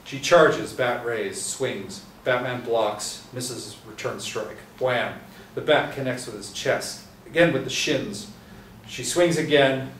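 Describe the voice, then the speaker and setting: A man reading stage directions aloud at a table reading, steady with small restarts. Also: medium pitch, slow. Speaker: script narrator. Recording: echoey room from a distance, faint hiss.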